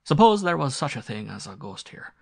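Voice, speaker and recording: Man voicing storybook country squire, blustering, fearful, hot-tempered, raised emphatic voice, gravelly edge. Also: medium pitch, big pitch swings, a little fast, a little mellow, pitched above the performer's own voice, exaggerated voice. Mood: urgent, blustering. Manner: blustering, rustic, emphatic speech.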